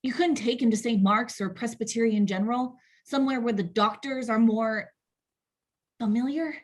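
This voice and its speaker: A woman acting an anxious, distrustful wife in a table read, with a husky voice. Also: a little high, steady tone, normal speed, close to an everyday voice. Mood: uneasy, suspicious.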